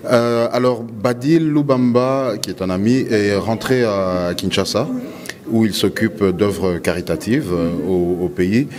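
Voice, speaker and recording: A man with a low voice answers casually as an interview guest. He is from DR Congo and Switzerland, and he feels his way through sentences, often repeating a word while he thinks. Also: steady tone, unhurried, crowd noise. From born in DR Congo, grew up in Switzerland and Belgium. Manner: hesitant, repeating words as he thinks.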